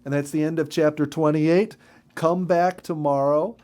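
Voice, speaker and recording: A man reading a children's story as narrator, expressive and carefully emphatic. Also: on the high side, moderate pace.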